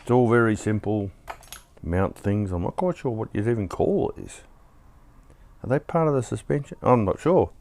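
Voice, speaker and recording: Host type: lowish, slightly croaky male voice, easygoing and chatty, talking through a kit build. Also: lively intonation, moderate pace, darkish tone, slight background noise. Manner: chatty and easygoing, thinking aloud with asides. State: slightly croaky after being ill. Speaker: hobby shop host building a model kit. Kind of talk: casual build tutorial.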